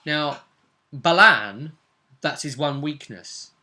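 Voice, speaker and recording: A man's voice: fairly high pitch, expressive, slow, bright, forceful tone. A man, a game master casually recounting a tabletop role-playing session in a chatty storytelling way. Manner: chatty, conversational storytelling with tag questions.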